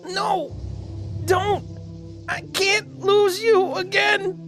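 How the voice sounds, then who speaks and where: Male actor as scrappy robot rat, high voice, loyal wisecracker, slangy informal talk, cartoon radio drama, music underneath. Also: fairly even intonation, extremely slow, gravelly, exaggerated voice. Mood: desperate pleading. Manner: informal, clipped street slang. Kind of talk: animated-series radio drama acting.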